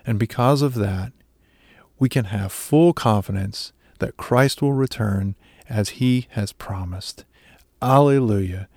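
A man reading a scripted devotional reflection in a measured, earnest way. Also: on the low side, vocal fry, a little mellow, dry recording, studio quality. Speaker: devotional speaker.

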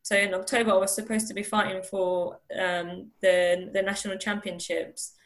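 A woman's voice: medium pitch, level tone, moderate pace. A young woman from Iran, talking earnestly in an interview over a video call, often restarting and repeating words. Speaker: interview guest. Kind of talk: candid conversational interview.

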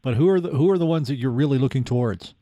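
A man's voice: medium pitch, fast talker, warm tone, no echo. A man interviewing a guest in a conversational style, as a podcast host.

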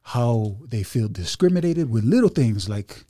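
Chill guy on a podcast, mellow warm tone, dry close sound, lots of you know what i mean. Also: mid-range voice, big pitch swings, a little slow, dry recording. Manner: laid-back, chatty, many fillers and asides. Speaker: podcast guest. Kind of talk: casual podcast chat.

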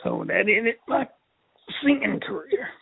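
An older man from Texas with a raspy, strained voice, telling his life story in an interview in a halting way, repeating words. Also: extremely high pitch, expressive, no echo. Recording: tinny phone line.

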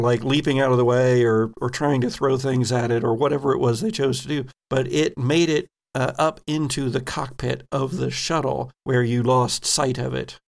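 A man's voice: mid-range voice, vocal fry. A man hosting as game master, narrating a tabletop horror role-playing game in a dramatic storytelling manner. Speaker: game master, podcast host.

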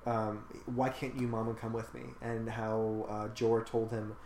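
A man's voice: fairly low pitch, monotone, a little slow, darkish tone. A man in a casual film discussion, talking thoughtfully at length with many fillers. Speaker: podcast host discussing a film. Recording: echoey room with faint noise.

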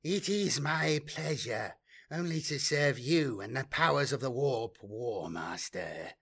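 A man's voice: medium pitch, expressive, takes it slowly, vocal fry, fairly bright tone, slightly rough, full character voice. A man voicing a scheming male sorcerer who fawns obsequiously before his master. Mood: fawning. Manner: obsequious, careful.

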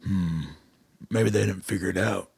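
Gruff colonel, heavily gravelly, raspy, terse, suspicious, radio drama. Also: male voice, deep voice, fairly even intonation, a little slow, a little mellow, dry recording, studio quality, exaggerated voice. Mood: terse, wary. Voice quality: gravelly, husky. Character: gruff, blunt army colonel, weary and suspicious.

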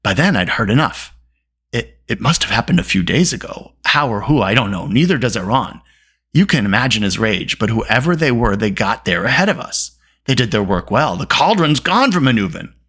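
An irascible little male dwarf from a storybook, as a narrator puts him on. He grumbles and snaps with exasperation. His pitch jumps about in a very lively way. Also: moderate pace, husky. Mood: exasperated.

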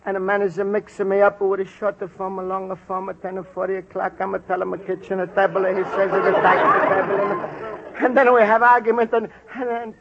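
A man's voice: high-pitched, little pitch movement, fairly quick, a crowd in the background. An actor playing a timid, earnest Italian immigrant man who stammers in broken English with an Italian accent, in a 1950s radio comedy. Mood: worried. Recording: dull lo-fi old radio recording, hiss.

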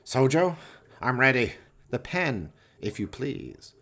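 A man playing an evil, excitable mad scientist in a radio play, pompous, theatrical and fawning toward his master. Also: medium pitch, slow, pitched above the performer's own voice, full character voice. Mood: commanding, grave. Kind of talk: radio play villain acting.

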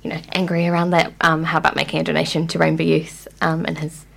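A New woman joins the radio show and explains a news item in an easygoing, conversational manner. Her voice is lowish with a gravelly, creaky texture. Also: fast talker, a little hiss or hum. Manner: relaxed, explanatory, trailing off with "and stuff". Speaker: co-host. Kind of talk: casual radio chat.

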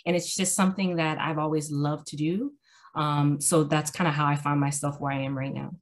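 Relaxed woman with a lower voice. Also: fairly quick. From Miami, Florida. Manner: relaxed, laughing, hesitant fillers. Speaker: guest author. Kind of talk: casual interview. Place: home room.

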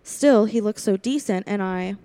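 A woman's voice: medium pitch, normal speed, dark tone, no room sound, lightly acted. A woman playing a young, lonely drive-in waitress in a 1940s-style radio play, confiding and wary. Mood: wistful, confiding. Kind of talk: 1940s radio play acting, first-person narration.

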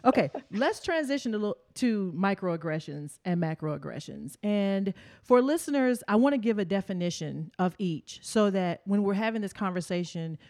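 A woman's voice: mid-range voice, expressive, normal speed, few pauses, darkish tone. A woman hosting a panel discussion, explaining terms plainly and then asking her guests questions. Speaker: podcast host.

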